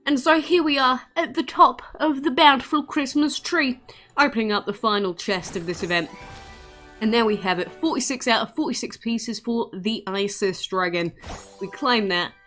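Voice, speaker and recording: A woman's voice: mid-range voice, normal speed, fairly bright tone, soft background music. A woman giving casual, chatty gameplay commentary in an informal, self-deprecating way as a video host.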